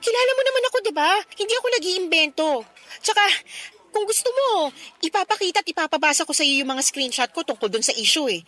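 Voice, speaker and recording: A woman's voice: very high-pitched, expressive, quick pace, bright, forceful tone, heavily acted. An actress playing a concerned best friend in a radio drama, earnest and insistent as she explains and justifies herself. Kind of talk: Filipino radio drama acting.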